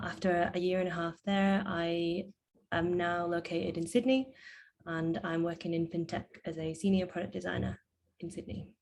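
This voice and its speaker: A woman from the UK introducing herself as a mentor on a video call, hesitant with many pauses. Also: on the low side, darkish tone, slight background noise.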